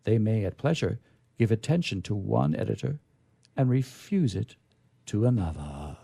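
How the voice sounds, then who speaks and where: A man hosting a radio show, reading stories aloud in a theatrical, deliberate, emphatic way with casual asides. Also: lowish voice, sing-song, vocal fry, warm tone, breathy edge, no echo.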